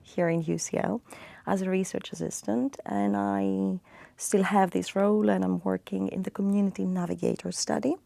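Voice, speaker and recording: A woman from Greece, a research assistant giving a measured career testimonial. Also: medium pitch, steady tone, creaky, warm tone, no room sound. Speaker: research assistant, former master's student.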